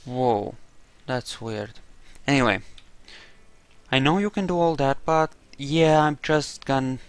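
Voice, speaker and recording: A man's voice: medium pitch, slow pace, clear, resonant tone, no room sound. A man giving casual gameplay commentary, wry and musing to himself as he plays. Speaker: gamer doing a playthrough.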